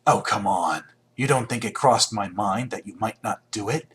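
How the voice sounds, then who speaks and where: Raspy, sly game villain. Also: male voice, on the low side, normal speed, fairly bright tone. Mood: wry, suspicious. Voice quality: husky, raspy. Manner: wry, probing, cynical. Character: the Hunter unmasked, sly ruthless male rival villain. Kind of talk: video game voice acting.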